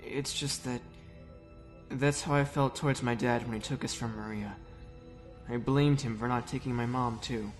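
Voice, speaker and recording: A man as a calm, earnest young soldier. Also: medium pitch, moderate pace, faint music behind, close to an everyday voice. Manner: calm, conciliatory.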